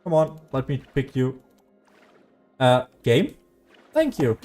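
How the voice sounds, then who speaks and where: A man streams a video game in a casual, rambling way. His voice sits at an average pitch, and he often drops his train of thought and picks it up again. Soft music plays behind him. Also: sing-song, takes it slowly. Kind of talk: casual gameplay commentary.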